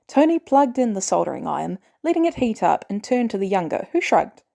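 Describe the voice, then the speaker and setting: Woman reading a fanfic out loud, soft mellow tone, very animated pitch. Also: a little fast, creaky. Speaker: podfic narrator. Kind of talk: scripted fan fiction narration.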